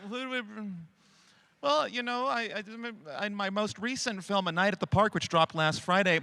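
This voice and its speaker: A man's voice: sits high, moderate pace, vocal fry, very noisy. A man who is a voice actor, chatting in a jokey, rambling, profane way on a live convention panel. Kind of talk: joking panel chat.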